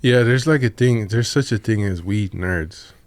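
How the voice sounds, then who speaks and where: Slow-talking Bay host, low voice. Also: male voice, no room sound. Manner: slow, deliberate talker. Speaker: podcast host. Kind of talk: casual interview chat. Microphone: studio podcast mic, dry room.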